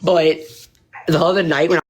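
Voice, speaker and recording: A woman hosting a casual livestream, rambling and swearing, her voice going hoarse from talking. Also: deep voice, normal speed. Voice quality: profane, rambling, animated. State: losing her voice. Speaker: livestream host.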